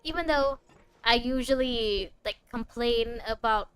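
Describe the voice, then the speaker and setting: A woman's voice: a little high, a little slow, a bit bright, clean tone. A woman casually commentating on a game stream, chatty and reacting aloud as she plays. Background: game music.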